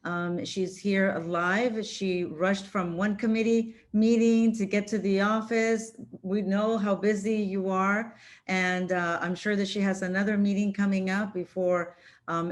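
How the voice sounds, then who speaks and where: A woman moderating a virtual conference, introducing a speaker and asking questions in a hesitant way with many fillers. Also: medium pitch.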